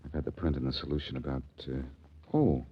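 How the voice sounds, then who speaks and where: Deep-voiced, cool, wry sleuth. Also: male voice, quick pace, slight background noise. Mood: keen, assured. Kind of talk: 1940s radio drama acting. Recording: muffled old radio broadcast.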